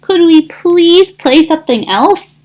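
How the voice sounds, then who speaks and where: A woman's voice: very high voice, expressive, slow, darkish tone, voice pushed up, heavily acted. A woman voicing a cautious, polite male goose in a picture book, grumbling and put-upon. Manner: honking, muttering complaints.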